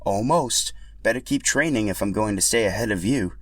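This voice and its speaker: A man voicing playful, friendly racing dinosaurs in an excited, breezy storybook manner. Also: on the low side, moderate pace, no room sound, lightly acted. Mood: friendly teasing.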